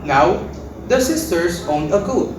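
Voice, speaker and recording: Animated woman storyteller in an echoey room. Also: fairly low pitch, takes it slowly. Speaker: narrator. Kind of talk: scripted storytelling, readers theater. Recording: echoey room, distant mic, faint noise.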